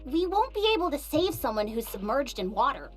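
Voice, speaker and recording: An actress voicing a skeptical young woman in a game cutscene, quick to question. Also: high-pitched, big pitch swings. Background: game music.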